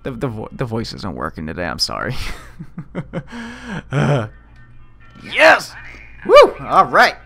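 An excitable man plays a video game and talks through it casually. His bright voice swoops wildly up and down, and he pokes fun at himself as he goes, with music behind him. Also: medium pitch, slow, vocal fry, pauses often. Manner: excitable, self-deprecating. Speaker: video game let's player. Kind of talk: casual gameplay commentary.

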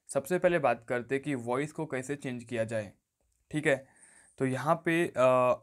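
Casting: male tutorial presenter, medium-pitched, casual explainer style with recurring stock phrases. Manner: instructional, often repeats stock phrases. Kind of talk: casual step-by-step phone tutorial. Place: voice-over on a phone screen recording.

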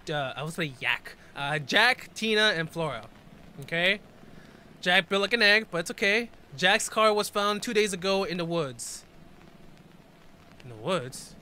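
A man's voice: sits high, expressive, unhurried, bright, forceful tone, slight background noise. A man in his twenties reacting casually to a video, reading text aloud and commenting in informal, slangy speech.

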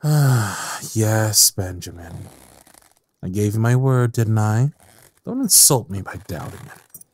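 A man playing a sadistic, mocking evil scientist in an audio drama, with a drawn-out, menacing delivery. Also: lowish voice, lively intonation, darkish tone, breathy edge, dry recording, heavily acted. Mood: contemptuous, gloating. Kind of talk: dramatic audio roleplay acting. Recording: music under the voice.

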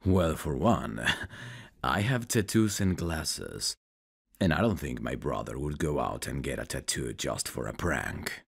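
Man acting a very deep, gravelly flirty guy. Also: animated, heavily acted. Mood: easygoing, wry.

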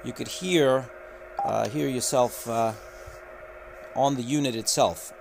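Man, middle pitch, unboxing walkthrough, filler-heavy, unhurried, camera mic, faint background hiss. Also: creaky. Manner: unhurried, many filler pauses. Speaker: radio reviewer. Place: indoor room at a table.

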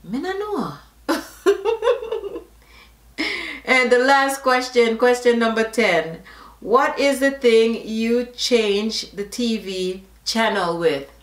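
A middle-aged woman from Jamaica with a Jamaican accent, reading a word list and questions aloud in a casual vlog, slow and deliberate, slipping into patois asides. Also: on the high side, expressive, reverberant. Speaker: vlogger. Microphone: camera facing her at close range.

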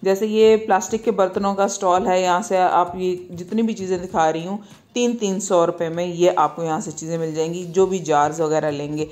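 Lower-pitched Pakistani woman, casual shopping guide. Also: fairly even intonation, brisk, a little mellow. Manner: conversational, repeatedly checks in with "okay". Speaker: market vlogger.

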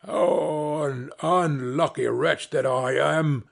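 A man's voice: a little high, slow pace, gravelly, heavily acted. A man playing a brutish male carter in a storybook, grumbling, scornful and self-pitying. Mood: wretched, lamenting. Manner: grumbling, lamenting.

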